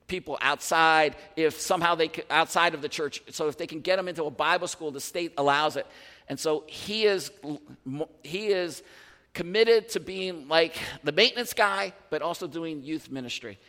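High-voiced pastor in his fifties preaching. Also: in a large hall. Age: 50s. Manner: animated, conversational preaching with restarts and asides. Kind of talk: sermon. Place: large church hall.

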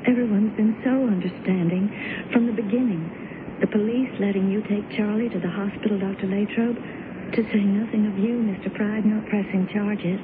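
Actress as gentle, devoted radio drama wife. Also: female voice, mid-range voice, steady tone, moderate pace, close to an everyday voice. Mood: earnest. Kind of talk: 1970s radio drama acting. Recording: muffled, noisy 1970s radio broadcast.

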